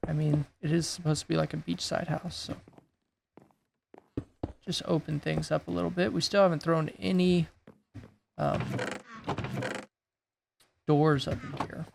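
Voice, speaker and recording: A man with a raspy voice that is going hoarse, thinking aloud in a laid-back way while he builds in a game. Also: on the high side, steady tone, a little slow, pauses often, noisy background. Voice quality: gravelly, raspy. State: losing his voice. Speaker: gaming YouTuber. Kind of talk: casual gameplay commentary.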